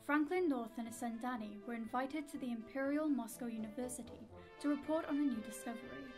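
A woman acting as the narrator of a spy audio drama, giving measured, scripted storytelling. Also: sits high, close to an everyday voice. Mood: measured, scene-setting. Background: music.

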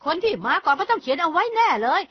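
A woman voicing an eager young schoolboy hero in a dubbed cartoon, exclaiming excitedly. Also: very high voice, quick pace. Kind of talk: cartoon dub acting. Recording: dull lo-fi cartoon audio with loud music.

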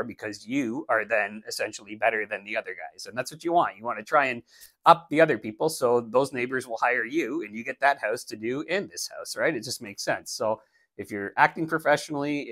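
Blunt, practical man with a bright voice. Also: mid-range voice, no echo. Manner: blunt, practical, animated gestures while talking. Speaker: landscaping business owner. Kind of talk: casual how-to talk to camera. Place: indoor studio, plain backdrop. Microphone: lapel mic.

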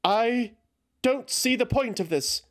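A man's voice: very high-pitched, animated, slow pace, voice pushed up, full character voice. A man voicing a distraught young woman who pleads and refuses to accept a death, in an insistent, pleading manner. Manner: pleading, insistent, rising to shouts.

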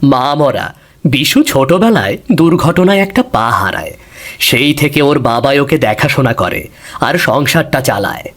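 A man's voice: mid-range voice, big pitch swings, unhurried, a bit bright. A man reading scripted narration for a cartoon fairy tale.

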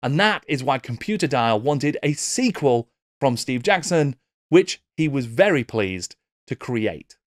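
A man's voice: medium pitch, sing-song, a little slow, dry recording. A middle-aged man presenting a scripted documentary-style video essay, close on a desk microphone. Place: small book-lined home studio.

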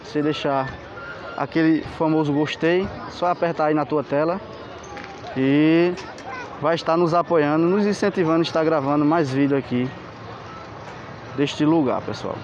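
Enthusiastic vlogger touring a street market. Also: male voice, a little high, moderate pace, long pauses, a little mellow. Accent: Pernambuco Portuguese. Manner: enthusiastic, asks for likes, points things out. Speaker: travel vlogger. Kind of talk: walking market tour vlog. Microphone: handheld camera. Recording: lo-fi camera audio with street noise.

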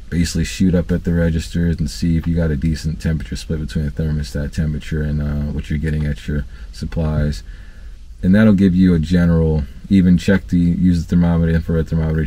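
Relaxed low-voiced man giving trade tips. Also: breathy edge. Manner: relaxed, conversational, many fillers and restarts. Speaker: HVAC technician and channel host. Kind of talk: casual training talk to camera. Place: parked work van, seated in driver's cab.

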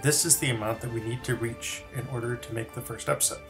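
A man's voice: medium pitch, steady tone, quick pace. A man presenting his own project in scripted promotional narration. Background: soft music.

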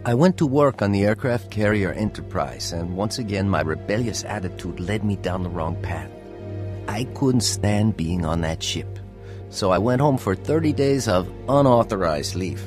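A man's voice: lowish voice, expressive, moderate pace, natural voice. An actor playing a rebellious, hot-tempered young sailor telling his life story in a radio drama. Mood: reflective narration. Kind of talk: dramatized radio testimony, narration and dialogue. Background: music.

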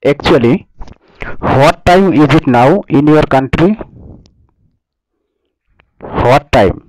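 A man in his twenties with a Bangladeshi accent, chatting casually on a video call in halting English, repeating short simple phrases into an earphone mic. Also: fairly high pitch, animated, darkish tone, no echo, poor audio. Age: 20s. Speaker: online English conversation partner. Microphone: earphone wire mic held at the lips.

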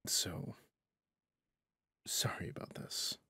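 A man playing a guilt-ridden, stern, centuries-old vampire hunter in an ASMR roleplay, speaking solemnly and haltingly in a husky, breathy half-whisper. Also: sharp tone, no echo. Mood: stern, resolute.

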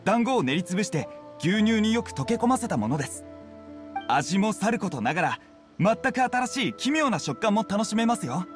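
A man's voice: high voice, sing-song, normal speed, soft background music. A man playing a young, deferential street food vendor in an anime-style game, polite and earnest. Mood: eager, proud. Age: young adult. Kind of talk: anime-style video game dialogue acting.

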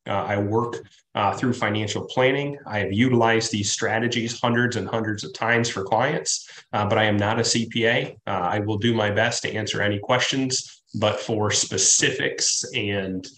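A man's voice: on the low side, steady tone, moderate pace, fairly bright tone. Stuffy-nosed man presenting a webinar. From Midwest US. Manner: conversational, frequent "right?" and "uh" fillers. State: stuffy from a cold. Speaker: financial planner presenting a webinar. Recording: roomy office echo.

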